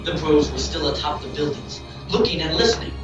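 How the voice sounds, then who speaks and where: A man's voice: a little high, expressive, a little fast, muffled recording. Echo-drenched male story narrator. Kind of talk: dramatic amateur story narration. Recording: very echoey, distant, loud music behind.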